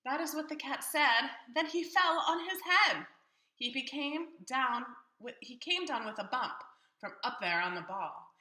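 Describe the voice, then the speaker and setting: A woman reading a picture book aloud to children in an expressive, engaging way. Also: high voice, unhurried. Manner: expressive, asks listeners questions. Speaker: children's story reader, teacher. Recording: roomy echo.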